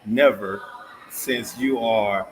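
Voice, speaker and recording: Hoarse man hosting interview. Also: fairly low pitch, slow, background noise. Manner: upbeat, promotional, stumbles over words. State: slightly hoarse. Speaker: host.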